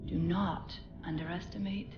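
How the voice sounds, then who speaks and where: An actress playing a proud military scientist under interrogation in a game cutscene, cool, precise and unapologetic. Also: female voice, fairly low pitch, steady tone, a little slow, dull audio. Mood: proud warning. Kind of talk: dramatic video game cutscene acting. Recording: echoey room with music behind.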